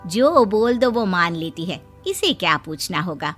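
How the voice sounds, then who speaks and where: A woman's voice: on the low side, big pitch swings, unhurried, faint music behind. A woman voicing the boastful, sly mother of the bride in a cartoon.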